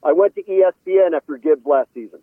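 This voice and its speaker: Male sports reporter guest on a phone-like line, middle-aged, slightly high voice, casual analysis. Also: fast, dry recording. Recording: tinny narrow phone-like line.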